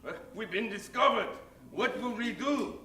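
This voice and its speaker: An actor playing a dim, obedient henchman in an improvised old-time radio comedy, with a slow, exaggerated delivery. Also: very high voice, pushed and bright, recorded from a distance. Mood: servile. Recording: roomy stage sound, off-mic, music behind.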